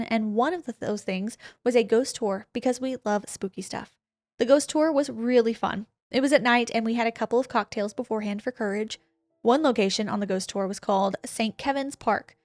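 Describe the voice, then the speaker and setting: A woman with a fairly high voice reads a listener's ghost story aloud, leaning into it like an animated storyteller. The sound is close and dry, with faint music underneath. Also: no room sound. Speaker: podcast co-host.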